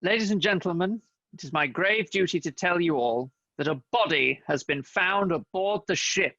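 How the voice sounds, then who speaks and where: Man playing a courteous detective, bright crisp tone. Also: high-pitched, moderate pace, no echo, exaggerated voice. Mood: earnest, taking charge. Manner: courteous, earnest. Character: polite undercover detective posing as waiter, also narrating. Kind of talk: comic radio-play acting with narration. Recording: dull home recording, music underneath.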